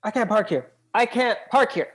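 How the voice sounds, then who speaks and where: A man playing a laconic, teasing young man driving his friend in a table read, terse and deadpan. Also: high-pitched, moderate pace, breathy edge, heavily acted. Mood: firm.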